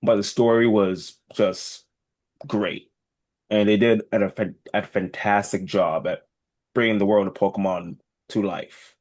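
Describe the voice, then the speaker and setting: A young man with a fairly low voice chats on a podcast. His delivery is informal and slangy, with a blunt way of putting things. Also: fairly even intonation, unhurried, a little mellow. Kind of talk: casual podcast chat.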